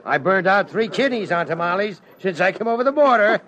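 An actor playing a loud, wisecracking, blustering American film director in a classic radio drama. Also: male voice, high voice, expressive, moderate pace, highs cut off. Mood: jovial, boisterous. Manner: blustering, slangy, jokey.

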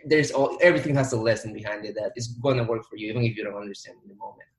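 A man's voice: fairly low pitch, fairly even intonation, rapid-fire. A young man. He talks in a motivational, hands-on way, stacking repeated words for emphasis. The room gives his voice a noticeable echo. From Dominican Republic. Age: 20s. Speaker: entrepreneur guest. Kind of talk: casual podcast interview. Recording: roomy echo.

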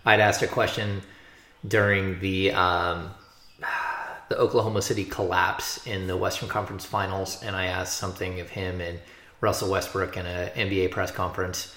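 A deep-voiced American man hosts a sports podcast in a casual, anecdotal style. His low voice carries a noticeable room echo as he talks through his stories like a reporter among colleagues. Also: a bit bright. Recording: roomy, echoing sound.

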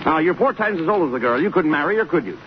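A man's voice: fairly high pitch, animated, quick pace, close to an everyday voice. An actor playing a bossy, exasperated army private scolding his pal in a 1940s radio comedy, fussy and insistent. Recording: muffled, noisy 1940s radio broadcast recording.

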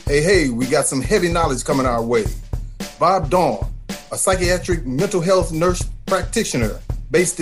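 Male segment host, fairly high voice, scripted interview delivery, light music underneath. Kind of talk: upbeat scripted interview hosting.

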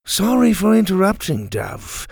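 Storybook swordsman acted by a man: protective, bold, challenging, with firm but animated delivery. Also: high voice, a little slow, vocal fry, slightly rough, dry recording, studio quality, pitched above the performer's own voice, exaggerated voice. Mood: firm, coaxing. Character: bold, protective swordsman adventurer, threatening yet polite.

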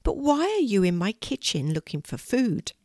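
A woman voicing a big, kind, old female sheepdog who speaks gently. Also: mid-range voice, sing-song, normal speed, no room sound, clean studio sound. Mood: gentle, kind.

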